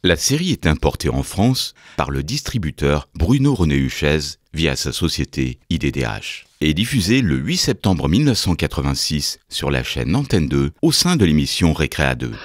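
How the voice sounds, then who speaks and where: A man's voice: very deep voice, very animated, moderate pace, few pauses, no echo. A man reading scripted documentary narration as the narrator.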